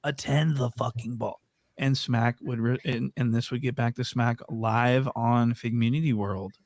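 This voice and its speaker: A man's voice: medium pitch, fairly even intonation, unhurried, a little mellow, no echo. A man hosting a commentary video, recapping an online feud and reacting as he goes. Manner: recaps and reacts, restarts lines. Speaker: commentary host. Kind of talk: casual commentary.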